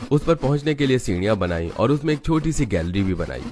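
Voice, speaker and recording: A man's voice: mid-range voice, very animated, fairly quick, a little mellow. A man narrating a family story in a scripted storybook style.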